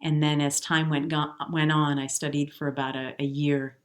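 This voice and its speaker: A woman's voice: low voice, flat delivery, moderate pace. Earnest, reflective woman in her fifties. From Milwaukee, US Midwest. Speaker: guest, jazz singer. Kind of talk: conversational interview.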